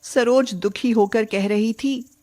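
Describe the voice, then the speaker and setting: Female narrator, unhurried delivery, dramatic scripted storytelling, moral tale. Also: fairly high pitch, steady tone, mellow.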